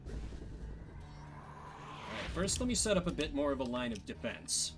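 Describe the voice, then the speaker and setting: Guy streaming a game, kinda high voice that jumps around a lot, faint music behind. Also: sing-song, moderate pace. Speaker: gaming streamer. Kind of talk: let's play commentary with character voices.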